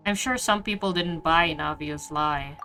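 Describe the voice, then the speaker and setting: Woman streamer, forceful bright tone, lowish pitch, offhand reactions, casual playthrough commentary. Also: a little slow, faint music behind. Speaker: game streamer.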